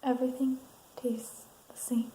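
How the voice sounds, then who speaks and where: Female ASMR counselor role, higher-pitched yet mellow, unhurried, soft-spoken delivery. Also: level tone. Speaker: ASMR performer roleplaying a counselor. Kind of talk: soft-spoken ASMR roleplay, reading assessment statements. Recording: roomy sound, faint background noise.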